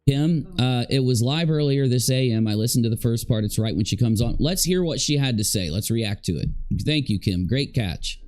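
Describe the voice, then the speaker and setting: A man's voice: medium pitch, expressive, moderate pace, few pauses, a little mellow. Sarcastic man ranting on a livestream. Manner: sarcastic, rambling talk to chat. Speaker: livestream commentator. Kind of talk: live reaction commentary.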